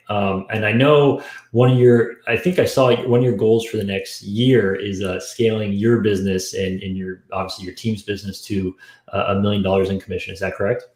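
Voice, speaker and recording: Guy with a lowish voice doing a casual podcast interview, pretty echoey. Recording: echoey room sound.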